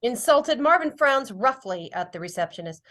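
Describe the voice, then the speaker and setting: A woman's voice: fairly high pitch, sing-song, normal speed, a bit bright. A woman reading stage directions as scripted narration at a drama table read.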